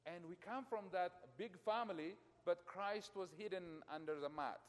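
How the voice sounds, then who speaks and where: A middle-aged man from Ethiopia preaching a sermon as a pastor. He speaks emphatically to his congregation, his loudness surging and falling. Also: high voice, moderate pace. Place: church. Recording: long church reverb.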